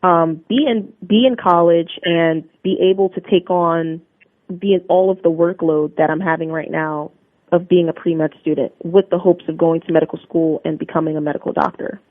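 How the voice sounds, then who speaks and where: A woman's voice: fairly low pitch, fairly even intonation, normal speed, dry recording. Young woman on a tinny phone line. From New Jersey, USA. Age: young adult. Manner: upbeat, earnest and polished. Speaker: interview guest, Army Reserve drill sergeant. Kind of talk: radio interview answers. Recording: narrow phone-line sound, dry.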